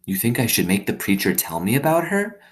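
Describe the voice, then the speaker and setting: A man's voice: fairly low pitch, sing-song, brisk, voice pushed up. A man reading a storybook, voicing a lonely, earnest young girl who confides plainly in her dog. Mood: wistful, unsure. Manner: plain-spoken, confiding, childlike phrasing. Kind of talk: storybook read-aloud.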